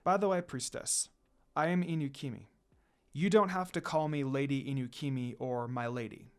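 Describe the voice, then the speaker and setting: A man voicing a stately demon noblewoman in a story, a formal, questioning mother who softens. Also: medium pitch, moderate pace, clean tone, lightly acted. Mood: approving, kindly. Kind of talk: storybook character reading.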